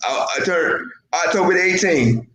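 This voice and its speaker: Lively high-voiced man in his forties. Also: normal speed, reverberant, over a noisy crowd, rough recording. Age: 40s. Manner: easygoing, laughs and agrees often. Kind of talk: casual video call chat.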